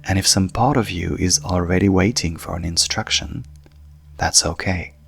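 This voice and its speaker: A man speaking as a hypnotherapist in a calm, hushed, soothing manner, softly guiding a sleep meditation with long pauses. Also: deep voice, vocal fry. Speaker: coach and hypnotherapist guiding a session.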